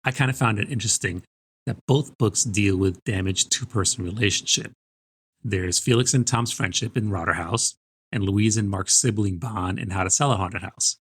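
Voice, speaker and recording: A man's voice: fairly low pitch, normal speed, a bit bright, breathy edge. A man co-hosting a podcast, talking conversationally about books. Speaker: book podcast co-host. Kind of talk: conversational podcast book talk.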